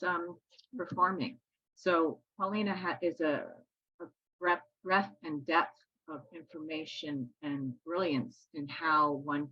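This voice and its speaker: A low-voiced woman with a husky, bright edge co-hosts a casual chat. The sound is dull and dry, like a lo-fi call recording. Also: dry recording. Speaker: co-host. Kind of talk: casual discussion.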